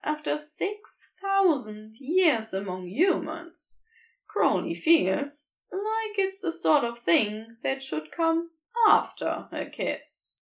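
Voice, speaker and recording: A woman reading a story aloud as an expressive narrator, recorded a little way from the microphone. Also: sits high, slow pace, gravelly, distant mic. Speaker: fan fiction audio reader.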